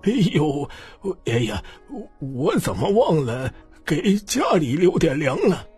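Male reader as eager commoner, flighty, raised pitch, husky, audiobook bit part. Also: very animated, slow pace, a little mellow, soft background music, full character voice. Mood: sheepish excuse.

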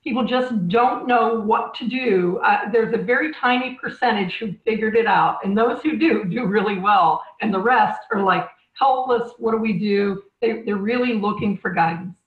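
A woman in her fifties answering casually and modestly as a guest on a video call, in a measured way. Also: mid-range voice. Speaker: guest business publication executive. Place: echoey indoor room on a video call. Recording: echoey room, dull lo-fi call audio.

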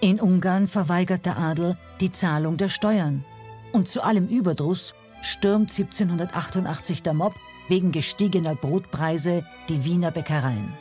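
Mellow documentary narrator over music. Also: mid-range voice, normal speed. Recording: music bed under narration.

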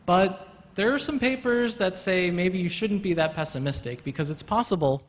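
A man's voice: high voice, normal speed. A man on a panel in a lecture hall, explaining patiently with lots of hedging and repeated words. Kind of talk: panel discussion answer. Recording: muffled hall recording with long reverb.

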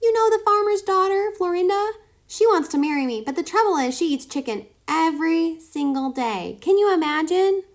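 Woman putting on a squeaky excited little guinea pig. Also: moderate pace. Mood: pleading, excited. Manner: high, breathless, pleading.

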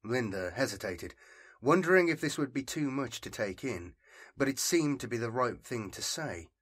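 A man's voice: mid-range voice, animated, moderate pace, a bit bright, breathy edge. A man narrating scripted fiction as an audiobook reader, telling the story dramatically.

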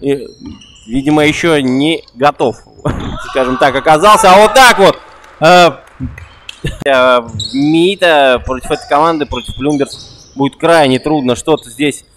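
A young man giving quick, excitable play-by-play commentary on an amateur basketball game, repeating a catchphrase. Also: fairly high pitch, background noise. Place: indoor basketball gym.

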